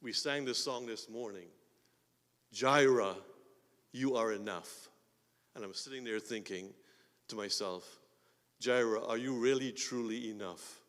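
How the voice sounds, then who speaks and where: A man with a Guyanese accent preaching a sermon as pastor, swinging between quiet asides and loud emphatic bursts. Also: medium pitch, measured pace, long pauses. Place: church sanctuary. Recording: long hall reverb.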